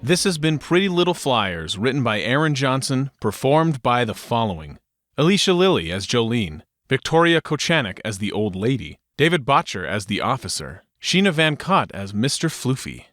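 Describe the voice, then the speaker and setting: A man's voice: mid-range voice, a bit bright, no room sound, studio quality. A man announcer reading scripted credits for an audio drama.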